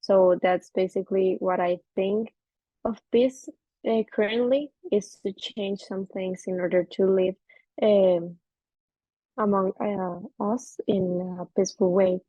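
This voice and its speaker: A woman from Costa Rica introducing herself on a video call, hesitant and pausing often with many fillers. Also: mid-range voice, steady tone, dark tone, no room sound. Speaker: participant. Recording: dry, slightly dull call audio.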